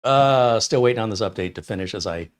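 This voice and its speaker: Low-voiced, chatty older tech reviewer man. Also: fairly quick. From Detroit, USA. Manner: rambling, talkative, blunt opinions. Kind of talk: casual live-stream chat answering viewer questions. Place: small home studio room.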